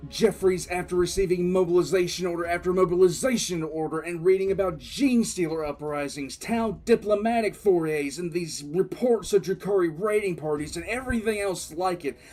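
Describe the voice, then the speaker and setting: A man's voice: sits high, darkish tone. A man playing a tense, frustrated military commissar telling his war story as dramatic first-person narration in an audio drama. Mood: exasperated, forceful. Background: background music.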